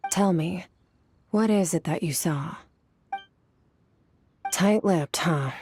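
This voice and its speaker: Enigmatic swordswoman, low voice, aloof, measured, blunt, video game, music behind. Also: a little mellow, slight background noise. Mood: cryptic. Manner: detached, terse, measured. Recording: background music.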